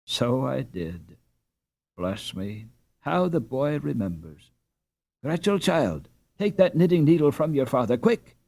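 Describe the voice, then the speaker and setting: A man's voice: medium pitch, sing-song, takes it slowly, creaky, a little mellow, full character voice. A man voicing a careworn, loving peasant mother in a storybook, with a plaintive, folksy, exclamatory way of talking. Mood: fond.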